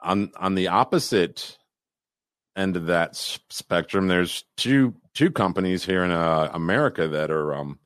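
Man, very low voice, rambling casual chat, dry close mic. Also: a little slow, fairly bright tone, clean tone, no room sound. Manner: rambling, many restarts and fillers. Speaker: podcast co-host.

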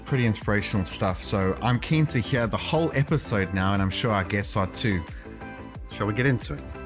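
A man's voice: lowish voice, brisk, music in the background. A man hosting a podcast in a conversational style, introducing and questioning as he goes. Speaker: podcast host.

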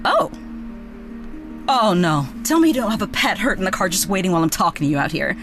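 A woman playing a chatty, excitable young veterinarian with magic, in a naturalistic audio drama. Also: medium pitch, brisk, over music. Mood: concerned, friendly. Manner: chatty, excitable, casual swearing. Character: bubbly, frank young woman vet with magic.

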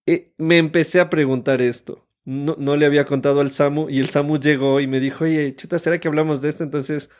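A man's voice: on the high side, steady tone, fairly quick, few pauses. A man in his thirties with an Ecuadorian Spanish accent, co-hosting a conversational podcast. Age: 30s. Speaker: podcast co-host.

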